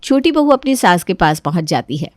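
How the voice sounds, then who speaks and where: A woman's voice: lowish voice, sing-song, brisk, a little mellow, dry recording, studio quality. A woman reading scripted narration as the storyteller of a family tale.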